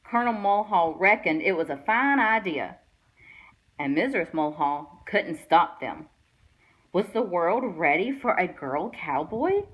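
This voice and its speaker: A woman reading a children's picture book aloud with expressive storytelling delivery. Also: lowish voice, measured pace, breathy edge, highs cut off. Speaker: guest read-aloud reader.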